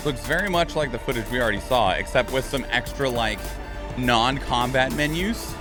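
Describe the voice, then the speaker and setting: A man's voice: mid-range voice, moderate pace, music in the background. A man hosting a reaction channel with casual commentary, rambling and stammering as he thinks aloud.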